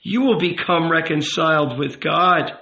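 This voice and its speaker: A man's voice: on the high side, a little slow, gravelly, pushed and bright, voice pushed up, heavily acted. A man voicing an old rabbi in an audiobook, tender and earnest, coaxing and emphatic as he counsels. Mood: wistful confiding. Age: older. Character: old rabbi uncle, tender, pleading, gently commanding confessor. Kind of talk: dramatic audiobook character reading. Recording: roomy, echoing, slightly dull sound.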